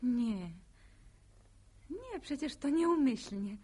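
Husky, composed young schoolteacher. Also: female voice, sits high, very animated, exaggerated voice. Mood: cautious. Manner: measured, proper, quietly firm. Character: composed, principled young village schoolteacher being courted. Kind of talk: Polish radio drama acting.

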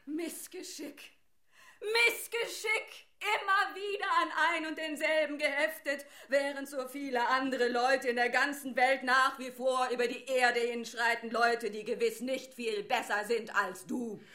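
A woman's voice: high-pitched, fairly even intonation, unhurried, sharp tone. A woman in a German radio play voicing a merciless taunting figure, declamatory and reproachful. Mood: mocking doom. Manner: declamatory, addressing someone reproachfully.

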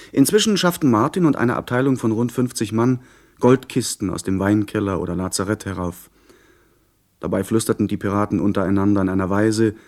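A male actor as an earnest gentleman narrator in a radio drama of pirates and sea voyages. His voice is fairly low, and he tells the tale in measured, articulate, literary sentences. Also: lightly acted. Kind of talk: scripted radio play narration.

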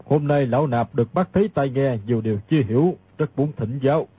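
An actor playing a grave, conciliatory old Buddhist monk with a solemn, measured delivery in a radio drama. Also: male voice, mid-range voice, husky, dry recording, dull audio. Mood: polite. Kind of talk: dramatised audiobook reading.

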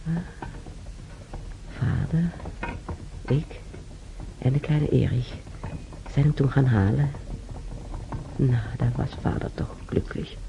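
An older woman acting an ailing, weary old woman reminiscing alone in a radio drama, halting and sighing, with a breathy voice. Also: extremely low pitch, warm tone, heavily acted. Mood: fond reminiscing. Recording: dull radio recording, faint noise.

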